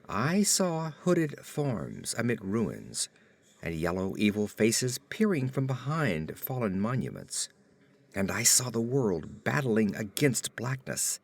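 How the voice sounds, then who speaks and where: A man reading a horror story as an audiobook narrator, with theatrical, deliberate phrasing. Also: lowish voice.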